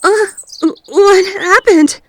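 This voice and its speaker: Shaken female victim, woman acting, audio drama. High, halting, slow delivery. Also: exaggerated voice. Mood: dazed. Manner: halting, dazed. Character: dazed, shaken female victim recovering from possession.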